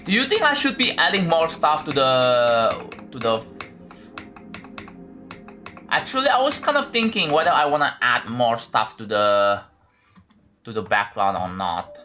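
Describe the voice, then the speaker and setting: A man's voice: medium pitch, sing-song, a little slow, pauses often, bright, forceful tone, faint music behind. A man with an Indonesian accent, chatting casually to his livestream viewers while building a game level and thinking aloud. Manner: thinks aloud, talks to chat, many fillers and restarts.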